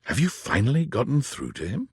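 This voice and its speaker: An actor playing a wry, dramatic video game narrator, reading scripted narration in a husky voice. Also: male voice, mid-range voice, huge pitch swings, a little fast, no echo, studio quality, full character voice.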